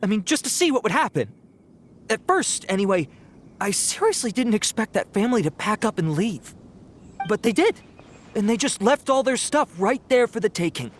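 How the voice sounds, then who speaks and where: A man's voice: high-pitched, very animated, normal speed, a little hiss or hum. A man voicing a cowardly young bandit in a video game, nervous and stammering, pleading and sheepish. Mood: remorseful, anxious. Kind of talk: dramatic video game voice acting.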